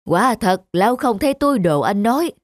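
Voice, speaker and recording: A woman's voice: mid-range voice, very animated, a little fast, dry recording, studio quality. A woman voicing a helpful, humble old male villager in a folktale cartoon. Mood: apologetic. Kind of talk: Vietnamese folktale cartoon acting.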